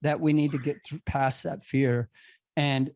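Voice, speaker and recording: A man's voice: fairly high pitch, fairly even intonation, a little slow, darkish tone, dry recording. A man hosting a podcast interview, talking earnestly and emphatically in a casual conversational way. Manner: earnest, emphatic, builds to indignation. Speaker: podcast host.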